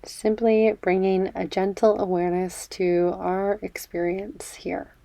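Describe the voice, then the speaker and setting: A woman guides a meditation at a slow, unhurried pace. Her voice is soft-edged and mellow, with a gentle, soothing delivery. The sound is dry and close, with space left between phrases. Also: mid-range voice, level tone, creaky, no room sound. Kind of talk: guided meditation, body scan.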